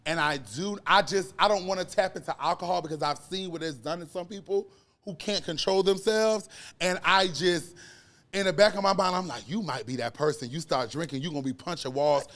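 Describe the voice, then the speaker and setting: A man in his late twenties, a guest on a podcast, with a voice that sits fairly high for a man. He talks casually but with earnest emphasis, leaning hard on key words as he explains himself. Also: brisk. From United States. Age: 20s. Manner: earnest, emphatic, animated storytelling. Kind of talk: casual conversation.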